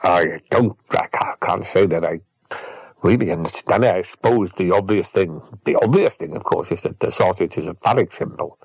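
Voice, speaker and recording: A man's voice: low voice, normal speed, slightly rough, dry recording. A man hosting a comedy phone-in who talks hesitantly and politely, with dry asides. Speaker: radio phone-in host.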